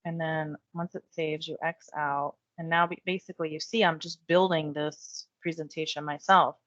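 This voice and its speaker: A woman giving a chatty step-by-step software tutorial while losing her voice. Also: sits low, steady tone, normal speed, no room sound, dull audio. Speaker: real estate software trainer. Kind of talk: screen-share software tutorial.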